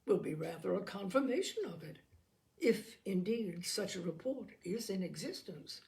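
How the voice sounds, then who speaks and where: A woman plays a young gentlewoman in a classic novel audiobook, composed and quick-witted. Her replies are cool, measured and pointedly defiant. The voice sits at a middling pitch with lively inflection. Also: gravelly. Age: young adult.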